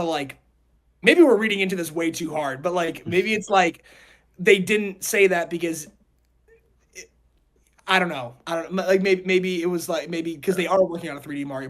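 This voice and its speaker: A man hosting a casual chat show, talking in a rambling, halting way and often repeating his words. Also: sits high. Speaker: podcast host.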